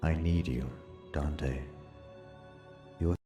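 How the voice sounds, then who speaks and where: A glorious angelic father figure, voiced by a man, extremely deep and slow. He sounds grave and full of regret, like a sorrowful demigod in an audio drama, with faint music behind. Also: steady tone, mellow, roomy sound, in a large hall, exaggerated voice.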